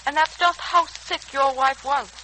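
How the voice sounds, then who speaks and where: An actress playing a dutiful young nurse, formal and firm, in a 1940s-style radio serial. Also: female voice, sits high, a little slow, bright, forceful tone, poor audio. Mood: polite, firm. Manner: formal, polite but firm. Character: dutiful, steadfast young nurse standing her ground. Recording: old radio recording, faint hiss.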